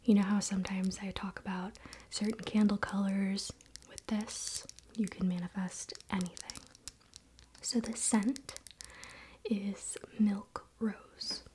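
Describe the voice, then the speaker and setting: A woman whispering softly in a soothing, relaxed and informal way, as an ASMR host. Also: medium pitch, slow, a little hiss or hum, low-quality recording. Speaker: ASMR video host and spiritual practitioner. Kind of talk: soft-spoken whispered ASMR.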